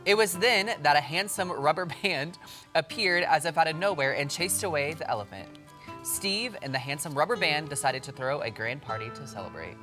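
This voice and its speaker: Low-voiced, animated woman over guitar music. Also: moderate pace. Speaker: TV show co-host. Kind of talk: casual banter and storybook read-aloud. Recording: prominent guitar music behind.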